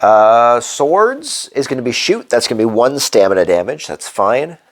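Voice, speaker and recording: A man's voice: medium pitch, big pitch swings, normal speed, a little hiss or hum. A congested man hosting a solo board game playthrough, thinking aloud as he works through the rules and dice rolls. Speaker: board game channel host.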